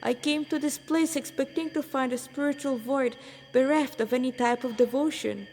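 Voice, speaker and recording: Woman voicing an exuberant, high-pitched young holy man. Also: normal speed, a little mellow, in a large hall. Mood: ecstatic, joyful. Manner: effusive, grandiose, devotional declaiming. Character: exuberant glowing young holy man, blissful wandering divine singer.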